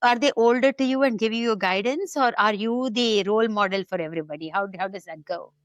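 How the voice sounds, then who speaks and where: A middle-aged woman co-hosting a podcast, effusive and exclamatory, quick to laugh. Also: medium pitch, no room sound. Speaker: co-host. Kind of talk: casual podcast chat.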